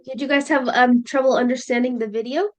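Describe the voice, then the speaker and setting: A woman teacher leading a listening lesson, explaining slowly and checking in with her students. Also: sits high, steady tone. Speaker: English teacher.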